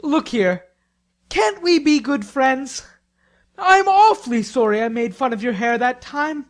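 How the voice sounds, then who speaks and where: A woman's voice: high-pitched, very animated, unhurried. A woman playing an earnest, proud teenage boy in an audiobook dramatic reading. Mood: eager, apologetic.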